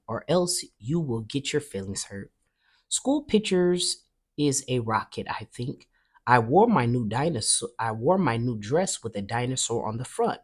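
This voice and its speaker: A woman reading a children's story aloud with expressive narration. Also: a bass voice, breathy edge. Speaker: storybook reader.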